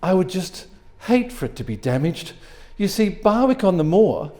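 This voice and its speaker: A man, reading aloud, acts out an elderly rich lady in a short story. The voice trembles and fusses sweetly, with a frail, anxious manner and a soft, mellow tone. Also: high voice, sing-song, normal speed, pitched above the performer's own voice. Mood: sweet, fretful. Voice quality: tremulous. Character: frail tremulous old lady, sweetly anxious wealthy dowager.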